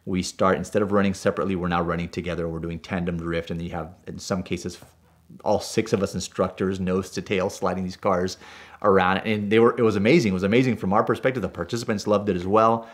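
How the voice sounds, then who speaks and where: Deep-voiced male driving instructor, native Spanish speaker, animated casual storyteller. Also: fast talker.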